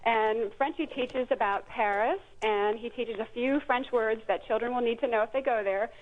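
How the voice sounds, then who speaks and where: A woman's voice: on the high side, moderate pace. A woman guest, a children's book creator, explaining products conversationally over a phone line. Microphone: telephone. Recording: thin phone line call.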